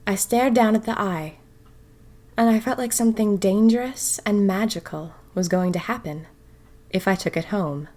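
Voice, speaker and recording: A woman's voice: mid-range voice, normal speed, dark tone, lightly acted. A woman playing a dreamy, self-dramatizing young 1920s woman who confides her diary in an audio drama. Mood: uneasy, fascinated. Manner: wistful, confiding, wryly dramatic. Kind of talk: first-person diary audio fiction.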